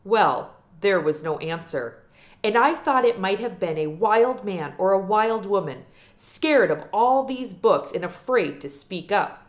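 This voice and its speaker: Woman playing an animated, genteel old librarian lady. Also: on the low side. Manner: folksy, emphatic, drawn-out storytelling. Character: old Southern small-town librarian lady, genteel, wistful storyteller.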